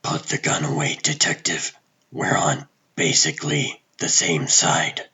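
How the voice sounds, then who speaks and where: A man voicing a gruff, calm and wry vigilante superhero in a comic book dub, with a husky, hushed rasp and terse delivery. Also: very deep voice, heavily acted. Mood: dry, taunting. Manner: terse, dry, deliberate.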